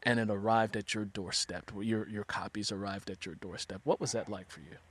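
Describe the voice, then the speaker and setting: A man's voice: on the low side, steady tone, fairly quick, fairly bright tone, no room sound. A man hosting a conversational book interview as a fellow author, speaking in an effusive, encouraging way.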